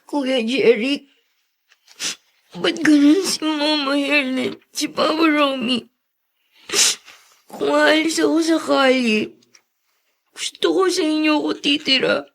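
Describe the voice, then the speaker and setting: A woman's voice: high-pitched, slow, creaky, pauses often, full character voice. A woman voicing a sad, plaintive young runaway boy in a drama, with a Filipino accent. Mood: sad, tearful. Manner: plaintive, childlike. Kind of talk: Filipino radio-style drama acting.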